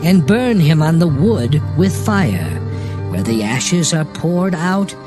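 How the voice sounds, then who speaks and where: Male scripture narrator, higher-pitched voice, solemn and formal dramatized audiobook read, music underneath. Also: big pitch swings. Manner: formal, measured reading of archaic scripture.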